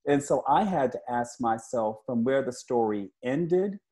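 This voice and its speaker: Older male interviewer, soft dark tone, halting, affirming delivery in casual chat. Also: mid-range voice, expressive. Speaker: interviewer, comic creator. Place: living room at home, indoors, on a video call.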